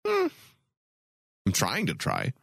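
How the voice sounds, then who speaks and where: A man's voice: mid-range voice, huge pitch swings, slow pace, vocal fry, a bit bright, no echo, clean studio sound. A man co-hosting a podcast, joking and swearing through crude comedic banter. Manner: joking, laughs often, swears. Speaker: podcast co-host.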